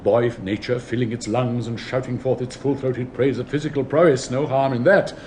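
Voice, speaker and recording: An actor in a radio play as a pompous, sentimental older public-school headmaster with an upper-class English accent, declaiming in fussy, plummy tones. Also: male voice, medium pitch, fairly even intonation, moderate pace, a little mellow, slight background noise, close to an everyday voice. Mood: stern, fussy. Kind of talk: theatrical British radio play acting.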